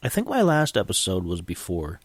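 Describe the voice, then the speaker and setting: Low-voiced man with a cold. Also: huge pitch swings, moderate pace, breathy edge, no echo. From United States. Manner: rambling, self-deprecating, frequent restarts. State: has a cold, sniffly and coughing. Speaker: podcast host. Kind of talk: casual solo podcast monologue.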